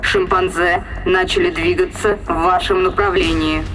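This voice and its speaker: A dutiful ship's android in a sci-fi audio drama. The voice is high and sharply bright, measured, and comes over a radio. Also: male voice, steady tone, soft background music, poor audio. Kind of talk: Russian audio drama acting.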